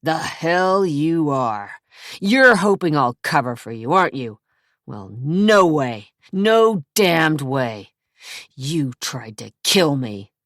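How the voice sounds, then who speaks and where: A woman reading an audiobook, voicing an injured younger brother who shouts accusations. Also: deep voice, very animated, slow, no echo, clean studio sound, full character voice. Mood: furious.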